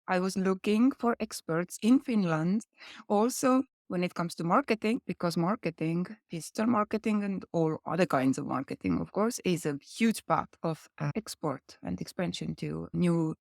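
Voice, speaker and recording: A woman's voice: lowish voice, expressive, vocal fry, no room sound, studio quality. A woman host, upbeat and encouraging as she interviews over a video call. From Estonia. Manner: upbeat, quick to say "nice".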